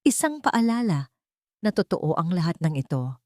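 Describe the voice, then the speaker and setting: Female story narrator, lowish register, solemn and measured, scripted first-person drama, dry studio-like sound. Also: very animated, a little mellow, dry recording.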